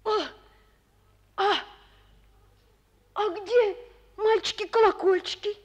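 A woman playing a curious, polite, excitable little boy in a 1940s radio play. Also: very high-pitched, slow. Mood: bewildered, urgent.

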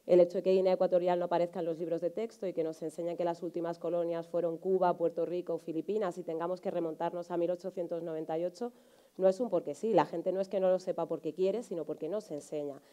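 Lower-pitched, mellow Madrid woman. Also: fairly even intonation, fast. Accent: Madrid Spanish. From Spain, Madrid area. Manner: explanatory, frequent rhetorical tags seeking agreement. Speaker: journalist, panel speaker. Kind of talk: conversational panel discussion. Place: indoor auditorium stage, seated on a panel.

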